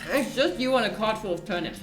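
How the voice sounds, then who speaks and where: A woman's voice: medium pitch, expressive, fast, over music. A Belgian woman with a British-leaning accent, chatting and rambling casually while streaming a game. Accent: Belgian English, leaning British. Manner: chatty and rambling, laughs between thoughts. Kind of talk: casual let's-play chat. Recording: roomy echo.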